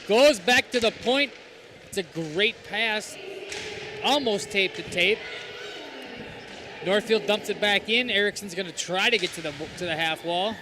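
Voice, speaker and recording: A man's voice: sits high, noisy background. A man doing color commentary on a hockey game, chatty and joking in his asides.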